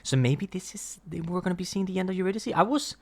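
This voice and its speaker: A man's voice: high voice, fast. A man whose first language is Danish, hosting a casual music reaction and talking in a rambling way with many fillers and restarts. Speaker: reaction video host.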